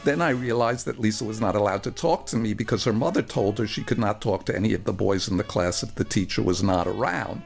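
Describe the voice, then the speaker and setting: Gravelly man telling a wry story. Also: lowish voice, brisk, few pauses, soft background music. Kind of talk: dramatic first-person storytelling for radio.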